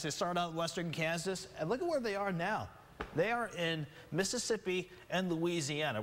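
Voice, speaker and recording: A man's voice: a little high, a bit bright, long reverb tail. A man presenting a conversational television weather forecast as the station's meteorologist. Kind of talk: broadcast weather report, conversational ad-libbed presenting. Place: television studio.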